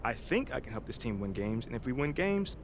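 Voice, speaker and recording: Game cutscene: young rookie hooper, casual, easygoing, noisy capture. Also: male voice, mid-range voice, sing-song, fairly quick. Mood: composed. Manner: casual, laid-back, agreeable. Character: young male rookie pro basketball player, easygoing. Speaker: rookie basketball player. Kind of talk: video game cutscene acting.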